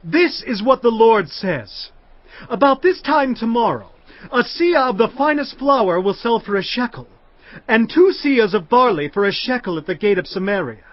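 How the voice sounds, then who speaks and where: Stern prophet, high ringing proclamation, lots of pitch movement, audio bible drama. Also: male voice, lively intonation, moderate pace, dry recording. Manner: loud, solemn proclaiming. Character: stern, commanding prophet proclaiming God's word. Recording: dull, muffled audio over music.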